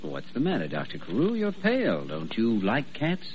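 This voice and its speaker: Breezy, very animated young doctor. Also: male voice, mid-range voice, normal speed. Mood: breezy teasing. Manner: jaunty, bantering. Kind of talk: old-time radio drama acting. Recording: muffled, hissy vintage radio recording.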